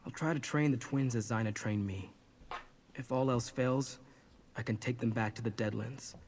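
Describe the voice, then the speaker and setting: A man's voice: mid-range voice, moderate pace, darkish tone, slight background noise, lightly acted. An actor playing an earnest, apologetic young man burdened with guilt in a video game. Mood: resolute, regretful.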